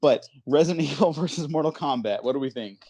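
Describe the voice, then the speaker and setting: A man's voice: on the high side, animated, quick pace, dark tone, slightly rough, no room sound. A man hosting a casual movie discussion podcast, talking in a conversational way. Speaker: podcast host.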